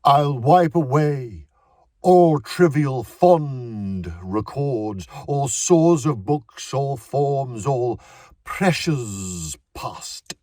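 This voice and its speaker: A man voicing a self-important middle-aged male stage actor who talks in fussy, halting bursts. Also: medium pitch, expressive, mellow, no room sound, studio quality, pitched above the performer's own voice. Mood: declaiming.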